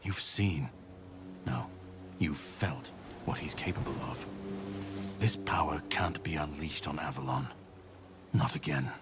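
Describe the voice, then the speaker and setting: An actor playing a grim, world-weary warrior on a mission in a video game, speaking in a grave, measured way. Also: male voice, extremely low pitch, music in the background. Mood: grave, resolute. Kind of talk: dramatic video game dialogue acting. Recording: clear background noise and ambience.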